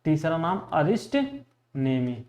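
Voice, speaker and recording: High-pitched man drilling exam facts. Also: slow, a little mellow. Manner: repetitive, asks questions and answers them himself. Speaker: history teacher for exam preparation. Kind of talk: classroom lecture drilling facts for exams.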